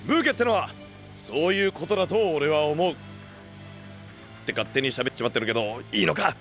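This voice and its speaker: A rough-talking, big-hearted young knight leader in an anime voice drama. His pitch runs high and very lively, and his speech is blunt and slangy. Faint music plays behind him. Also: a little fast. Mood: earnest. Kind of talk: Japanese anime-style voice drama acting.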